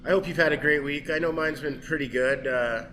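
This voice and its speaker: A man from Canada hosting a casual sports chat show in a pub, slightly hoarse from a cold, easygoing and quick to laugh. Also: on the high side, sharp tone, a little hiss or hum. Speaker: host.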